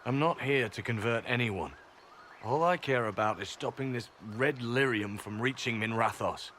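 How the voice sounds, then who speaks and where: An actor playing an earnest, composed secret agent in a fantasy video game, measured and direct. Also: male voice, mid-range voice, fairly bright tone, a little hiss or hum, natural voice.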